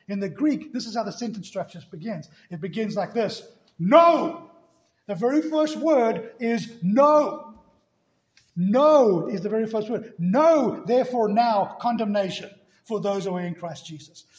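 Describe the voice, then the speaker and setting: A man's voice: sits high, darkish tone. A man preaching a sermon in a church, emphatic, hammering key words again and again. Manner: emphatic, repeats key words with rising force. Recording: echoey room.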